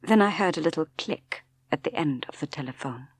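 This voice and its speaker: A woman's voice: low voice, lively intonation, brisk, slightly rough, no echo. A woman with an English accent reading a novel aloud as dramatic literary narration. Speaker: audiobook narrator.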